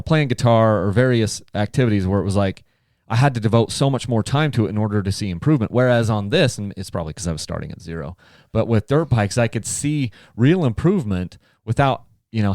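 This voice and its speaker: Man, low voice, podcast host, rambling and thoughtful, dry close sound. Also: a little mellow, clean tone, no room sound. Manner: thoughtful, rambling, restarts often. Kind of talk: casual interview conversation. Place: home office.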